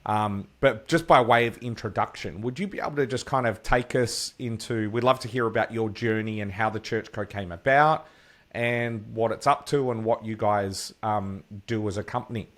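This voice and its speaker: An Australian man with a fairly low voice hosts an interview podcast. He talks in an upbeat, chatty way and fills his sentences with ums and restarts. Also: normal speed, fairly bright tone. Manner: enthusiastic, chatty, many fillers. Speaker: podcast host. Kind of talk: casual interview hosting.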